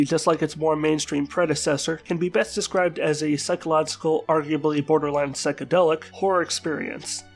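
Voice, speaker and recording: Congested man narrating, fairly high voice. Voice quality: stuffed-up, congested. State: sick with a flu-like bug. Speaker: video game reviewer and channel host. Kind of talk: scripted review narration.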